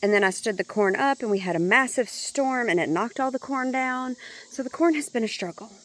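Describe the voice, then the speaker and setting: A woman vlogging a casual walk-through of her home garden, speaking in a chatty, relaxed way with a hoarse, congested voice. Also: a little high, brisk, slight background noise. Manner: chatty, relaxed, thinking aloud.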